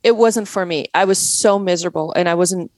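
A woman's voice: fairly low pitch, brisk, a little mellow, dry recording. Chatty Canadian woman in a dry booth. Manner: chatty, many fillers and restarts. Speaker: guest. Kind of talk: casual interview talk.

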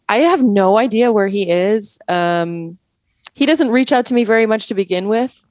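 Guest: woman, 40s, Canadian accent, rambling chatty delivery, mid pitch, dry close recording. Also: expressive, moderate pace, darkish tone, dry recording. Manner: chatty, many fillers and restarts. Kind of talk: casual interview talk.

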